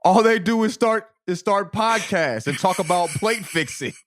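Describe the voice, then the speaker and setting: A man in his thirties with an American accent and a high, very lively voice. He talks as a podcast co-host in casual, comedic banter. His delivery is animated, profane and full of slang. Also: moderate pace. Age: 30s. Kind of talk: casual comedic banter and mock-dramatic reading.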